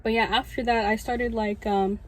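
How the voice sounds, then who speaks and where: A woman's voice: fairly high pitch, fairly even intonation, normal speed. A woman in her early twenties with a Californian American accent, giving a personal testimony as a student chaplain in an informal, conversational storytelling way. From Southern California, United States. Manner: informal, conversational, frequent "like" asides. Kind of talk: casual personal storytelling to an audience.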